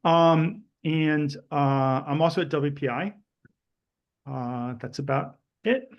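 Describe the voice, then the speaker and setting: A man's voice: fairly high pitch, takes it slowly. A man giving a short, plain introduction on a video call. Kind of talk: brief self-introduction in online meeting. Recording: muffled video call audio.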